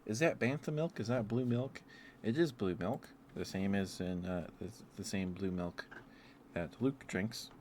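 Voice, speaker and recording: Male ASMR streamer, low register, meandering casual delivery, some ambient noise behind the voice. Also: a little slow, darkish tone. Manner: meandering, often chuckling to himself. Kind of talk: rambling ASMR livestream chat.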